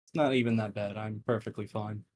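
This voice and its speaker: A man guest on a podcast with a monotone voice, explaining things in a halting, plain way. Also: lowish voice, slight background noise. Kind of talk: casual interview answers.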